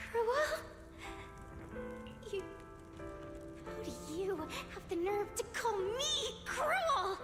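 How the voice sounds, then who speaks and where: Proud young rival girl, super high voice, dramatic anime game style, music loud behind. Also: lively intonation, drawn-out words, pauses often, exaggerated voice. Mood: hurt, bitter. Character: proud, teasing young woman rival navigator, turning bitterly cold. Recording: echoey reverb over loud game music.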